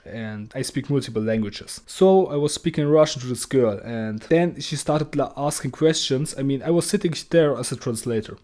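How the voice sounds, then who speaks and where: Man, non-native English speaker, mellow dark tone, blunt, casual swearing, frequent restarts. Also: on the high side. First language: not English; English is his third language. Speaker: agency owner giving advice. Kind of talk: casual talking-head monologue.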